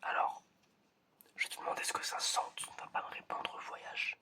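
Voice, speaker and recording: An ASMR creator whispering a casual, encouraging roleplay test, softly repeating words. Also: normal speed. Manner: casual, encouraging, informal phrasing. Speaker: ASMR creator playing an examiner. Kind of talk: ASMR roleplay, testing the listener's senses.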